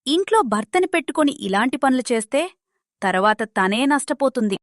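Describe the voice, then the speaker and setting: Gossipy, fairly high village woman played by a woman. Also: big pitch swings, moderate pace, dry recording, clean studio sound. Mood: disapproving. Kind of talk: cartoon moral-story dialogue.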